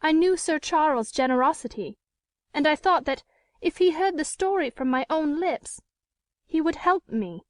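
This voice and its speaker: A woman voicing a proud, anguished gentlewoman in an audiobook, speaking in an earnest, formal and emotive way. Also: high voice, animated, moderate pace, dry recording, voice pushed up. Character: anguished, proud, unhappily married gentlewoman pleading her case.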